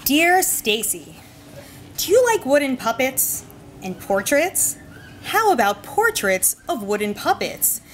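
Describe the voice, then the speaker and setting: A woman giving a live comic reading of humorous personal essays at a bar, in a dry, deliberate delivery. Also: high voice, sing-song, a little hiss or hum. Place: bar reading event before an audience.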